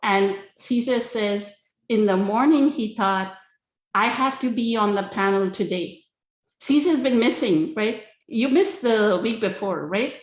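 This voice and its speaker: A woman speaks as a spiritual teacher in an informal, earnest way, turning to listeners with rhetorical check-ins. Her voice sits at a middle pitch. The sound is roomy and echoing, as on an online call from an indoor space. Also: measured pace. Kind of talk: spiritual talk, conversational teaching.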